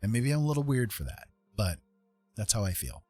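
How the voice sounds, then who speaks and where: A man's voice: fairly low pitch, very animated, fairly quick, a little mellow. A middle-aged man hosting a casual livestream, talking in an earnest, musing and hesitant way. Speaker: livestream host, artist and writer. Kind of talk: casual reflective livestream chat. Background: music.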